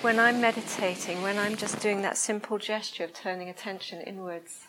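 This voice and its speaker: Soft-spoken woman meditation teacher, hesitant and searching, gentle pauses between phrases. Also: mid-range voice, fairly even intonation, very noisy. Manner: slow, hesitant, searching for words. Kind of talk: reflective dharma talk and guided meditation. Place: room with a listening group.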